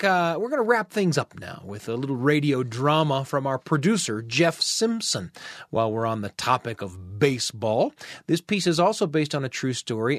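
American man, radio host, conversational, lively intonation, fairly high, dry studio sound. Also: no echo. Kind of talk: conversational presenting between stories.

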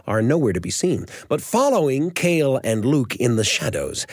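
A man hosting a radio storytelling show, narrating from a script in an animated way with dramatic emphasis. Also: medium pitch, moderate pace, husky, no room sound, clean studio sound.

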